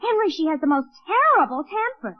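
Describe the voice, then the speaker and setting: A woman's voice: extremely high pitch, big pitch swings, fairly quick. An actress playing a fussy, scolding girl of the household in a 1940s radio comedy. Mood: fussy, exclaiming. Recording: muffled vintage radio recording.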